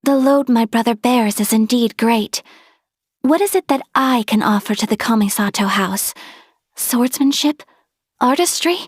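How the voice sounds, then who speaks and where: A young woman playing a refined, gracious noblewoman in a video game, speaking in formal, politely measured diction. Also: fairly high pitch, no room sound, studio quality. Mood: composed, reflective. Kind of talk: video game character voice lines.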